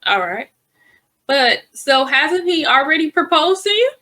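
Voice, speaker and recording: A woman's voice: high-pitched, very animated, unhurried, pushed and bright, slight background noise. A woman co-hosting a reaction video, chatting skeptically about the clip she's watching. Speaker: reaction channel co-host. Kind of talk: casual reaction commentary.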